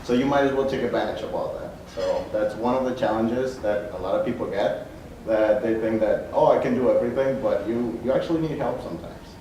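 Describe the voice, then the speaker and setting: Brief: young male student, low voice, loose chatty delivery, echoey room. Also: fairly quick, dark tone, lo-fi sound. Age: young adult. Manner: casual, lots of "like". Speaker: student panelist. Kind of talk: panel talk. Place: hotel meeting room. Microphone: handheld mic. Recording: echoey room from far off, faint noise.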